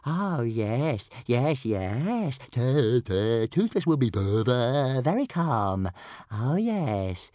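A man voicing an excitable, indignant little male dragon who stammers and talks about himself by name, in an audiobook story. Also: mid-range voice, very slow, no room sound, voice pushed up. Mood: eager, promising.

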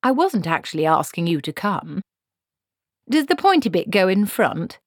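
A woman's voice: on the low side, sing-song, normal speed, dry recording, studio quality, pitched above the performer's own voice. Woman putting on a stubborn, bossy old witch. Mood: determined. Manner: blunt, folksy commanding speech.

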